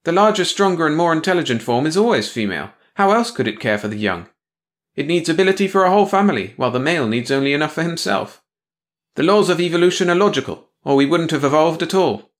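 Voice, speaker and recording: Man playing a female alien beast, raised pitch, haughty, coldly logical, brisk, commanding, sci-fi storybook. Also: lively intonation, lightly acted. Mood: scornful.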